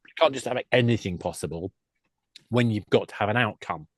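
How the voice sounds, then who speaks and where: A man's voice: lowish voice, fairly quick, fairly bright tone, dry recording. A man speaking as an expert guest in a panel discussion, explaining things emphatically and often breaking off to rephrase himself. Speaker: guest, games industry expert.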